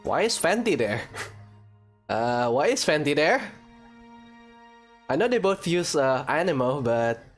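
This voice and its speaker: Female let's play host: very low pitch, animated, wry, off-the-cuff commentary. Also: measured pace, long pauses, soft background music. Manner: playful, wry. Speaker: gaming video host.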